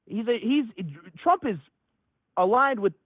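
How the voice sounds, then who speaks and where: A caller from Metro Detroit arguing heatedly, his voice jumping high when he gets worked up. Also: unhurried, no echo. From Metro Detroit, USA. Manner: excitable, voice jumps high when agitated. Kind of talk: heated call-in debate. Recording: tinny phone line.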